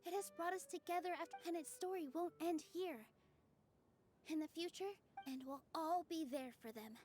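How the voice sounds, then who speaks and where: A gentle young woman speaks in a high, sincere voice. She sounds like an earnest stage performer in a video game, with music playing behind her. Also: normal speed. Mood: warm, earnest. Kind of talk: video game character acting.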